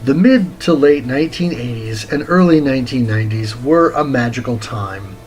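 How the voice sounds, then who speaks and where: A man of forty reading a scripted retro game review as host, in a deliberate, articulate, wordy way. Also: medium pitch, a little mellow, low-quality recording. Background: music.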